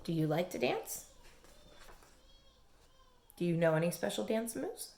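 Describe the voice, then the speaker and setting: A woman reading a children's storybook aloud like a teacher, expressive and emphatic, addressing young listeners. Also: lowish voice, unhurried, vocal fry. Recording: echoey room.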